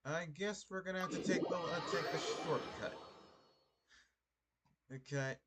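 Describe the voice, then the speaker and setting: A man giving casual live gameplay commentary, excitable and often repeating himself. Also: fairly high pitch, a little slow, noisy background. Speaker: game streamer. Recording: loud game music over voice. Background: video game music.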